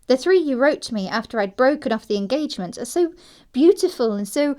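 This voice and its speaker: A woman plays a prim young society girl in a classic comedy audio drama. Her voice is high and her delivery precise and theatrical. She sounds dreamy yet completely self-assured. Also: lively intonation, a little fast, warm tone. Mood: dreamy, matter-of-fact.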